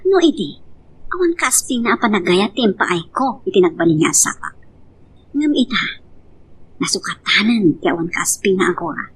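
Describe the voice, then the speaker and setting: A woman's voice: medium pitch, big pitch swings, normal speed, husky. A woman playing a middle-aged, hurt but stubborn abandoned wife and devoted mother in an Ilocano love drama, in an emotional, pleading manner. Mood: hurt, bitter. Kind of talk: Ilocano radio-style love drama acting.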